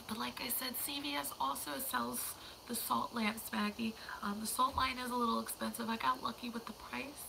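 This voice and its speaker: Young woman w/ a cold, voice mostly gone, high pitched, rambly livestream chat, noisy mic. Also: vocal fry. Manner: rambling, chatty, drifting into whispers. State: hoarse, losing her voice from a cold. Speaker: livestream host.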